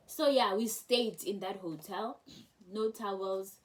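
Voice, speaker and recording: A young woman casually telling a travel story to camera, chatty and animated, with many restarts. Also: mid-range voice, slow pace, low-quality recording. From South Africa. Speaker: travel vlogger.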